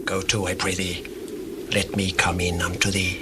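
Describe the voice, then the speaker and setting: An actor playing grave, commanding men of the Bible in a solemn, declamatory style. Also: male voice, deep voice, little pitch movement, moderate pace, natural voice. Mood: low, measured. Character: grave, commanding biblical patriarchs and upright men. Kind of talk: dramatized scripture acting. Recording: noisy older audio drama recording.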